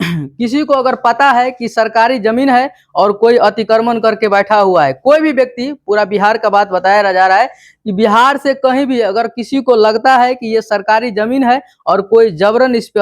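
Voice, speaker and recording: A man with a Bihari accent explaining news informally, in an emphatic, repetitive, instructive way. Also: very high voice. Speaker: presenter of a local news explainer channel.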